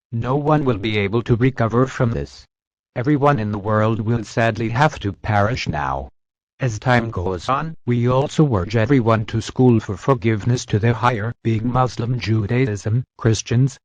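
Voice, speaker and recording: A man's voice: medium pitch, dry recording. Solemn guy doing emergency alert announcement, dry dull lo-fi sound. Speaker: emergency broadcast announcer in a fictional scenario. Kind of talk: scripted emergency alert narration. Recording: dull, muffled lo-fi audio.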